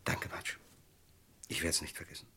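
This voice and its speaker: An actor playing an anxious, desperate man accused of murder in a German radio crime drama, with a gravelly, husky voice. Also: moderate pace, sharp tone, a little hiss or hum. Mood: resigned.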